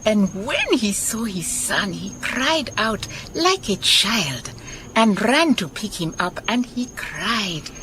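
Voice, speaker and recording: An actress playing a warm, wise woman detective with a Southern African accent in a radio drama, speaking in a measured, emphatic way. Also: mid-range voice, big pitch swings. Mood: proud, moved. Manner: unhurried, measured, emphatic repetition. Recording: noticeable background noise, chirping night insects.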